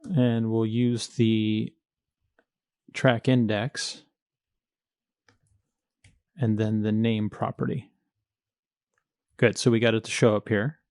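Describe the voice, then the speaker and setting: A man's voice: fairly low pitch, level tone, takes it slowly, long pauses, darkish tone, smooth, no room sound. Man giving a dry-recorded coding walkthrough. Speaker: coding tutorial instructor. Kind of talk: screencast coding walkthrough, explaining step by step.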